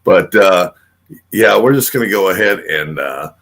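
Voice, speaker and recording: A man's voice: deep voice, animated, measured pace, a bit bright. An older man hosting a casual radio show, rambling and full of filler words and restarts. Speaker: host.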